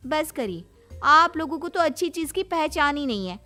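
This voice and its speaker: A woman playing a shameless, defensive young daughter-in-law in an animated comedy story, with playful exaggeration. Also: high voice, moderate pace, music in the background. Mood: gushing, insistent.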